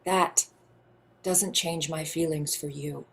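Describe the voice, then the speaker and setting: A woman acting in a play reading as a wounded, restrained queen, speaking in a hushed, halting way. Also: deep voice, steady tone, gravelly, a little hiss or hum, exaggerated voice. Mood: hurt, resigned. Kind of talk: theatrical stage play reading.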